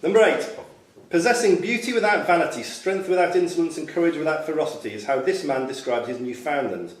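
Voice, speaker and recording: Quizmaster in a roomy, distant recording. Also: male voice, a little high, brisk. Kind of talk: reading quiz questions aloud at a live event. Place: function room at a club quiz night. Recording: roomy, distant mic, faint noise, clicks.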